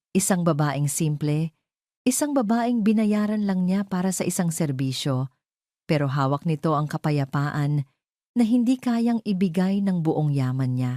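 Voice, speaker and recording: A woman's voice: deep voice, dark tone, no room sound, clean studio sound. A Filipino woman reading a scripted romance story as a dramatic narrator.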